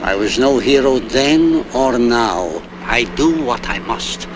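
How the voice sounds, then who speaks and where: A stubborn old man, intent on revenge. His voice swings widely in pitch while his words stay calm and dryly ironic. A faint hiss sits under the old TV drama audio. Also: slow pace. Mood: cold. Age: older. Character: aging Hungarian refugee man, grimly resolved on revenge. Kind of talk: 1960s TV crime drama acting.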